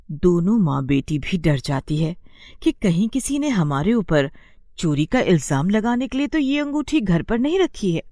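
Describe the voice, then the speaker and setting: A woman's voice: medium pitch, dark tone, no echo, studio quality. A woman storyteller narrating a scripted bedtime moral story.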